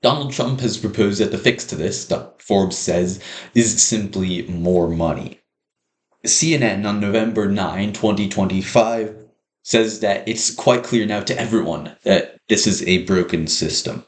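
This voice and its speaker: Young male student speaker, slightly low pitch, earnest persuasive delivery, echoing room sound. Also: measured pace. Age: young adult. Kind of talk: prepared persuasive speech.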